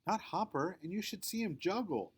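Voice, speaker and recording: A man's voice: high voice, animated, normal speed, husky, voice pushed up. A man voicing an eager, curious young schoolgirl in a storybook, earnest and questioning. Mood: earnest, defensive. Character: eager, curious young third-grade girl narrator.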